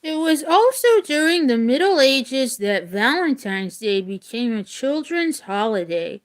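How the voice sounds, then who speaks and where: A woman teacher reading a story aloud expressively and deliberately, with casual explanatory asides. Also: high-pitched, sing-song.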